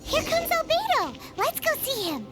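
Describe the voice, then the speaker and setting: A woman's voice: extremely high pitch, huge pitch swings, moderate pace, heavily acted. A woman voicing a tiny, cheeky fairy companion in a video game, who calls herself by name and speaks bluntly. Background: game music.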